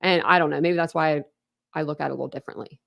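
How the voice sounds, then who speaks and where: A woman's voice: low-pitched, fairly even intonation, rapid-fire, gravelly, highs cut off. A woman runs a true crime livestream in loose, chatty commentary. She rambles, trips over her own starts and circles back. The delivery stays casual and unscripted. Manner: rambling, chatty, self-interrupting with laughs. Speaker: true crime channel host.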